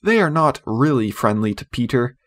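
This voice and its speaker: A male audiobook narrator reads literary fiction. His voice sits at a medium pitch. The storytelling is measured and expressive.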